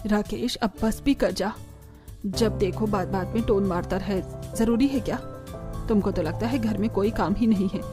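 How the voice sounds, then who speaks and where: A woman's voice: mid-range voice, normal speed, music in the background, natural voice. A woman voicing a scolding older village mother-in-law who is protective and exasperated, in a family cartoon. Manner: scolding, exasperated, rustic idioms. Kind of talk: Hindi family cartoon voice acting.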